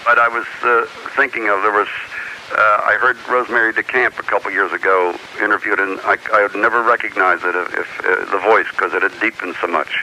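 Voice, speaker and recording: An American man calling a radio show with casual fan questions, speaking haltingly with frequent restarts. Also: on the low side, steady tone, sharp tone. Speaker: radio phone-in caller. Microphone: telephone. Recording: phone call on hissy radio cassette.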